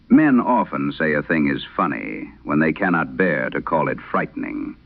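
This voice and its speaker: A man narrating a scripted vintage radio horror tale in the first person, in a grave, measured, gravelly voice. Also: sits low. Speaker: narrator of a horror audio drama. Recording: muffled, band-limited vintage radio sound.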